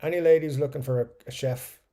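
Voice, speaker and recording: A man's voice: medium pitch, fairly even intonation, fast talker, dark tone. A man with an Irish accent hosting a casual livestream, reading out viewer comments and chatting loosely, swearing now and then and trailing off into mumbles. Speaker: livestream host.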